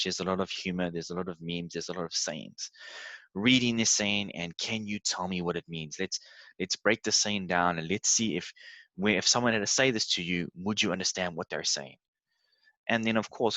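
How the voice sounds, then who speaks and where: A man in his thirties from South Africa, an English tutor giving a relaxed, conversational presentation while sharing his screen, with frequent filler words. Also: fairly low pitch, no echo.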